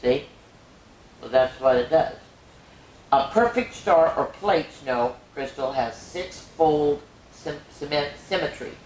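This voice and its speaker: Lady reading a kids science book out loud, full of wonder, chatty asides, roomy echo, bit of noise. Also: deep voice, slow. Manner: expressive, wonder-filled, explaining to children. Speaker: storyteller and show host. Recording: echoey room with faint noise.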